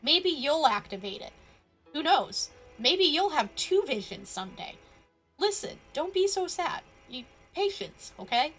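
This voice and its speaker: A woman streaming a game with a high, very animated voice. She is chatty and playful in her casual commentary. Faint game music sits behind her. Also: a little slow, poor audio. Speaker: live streamer.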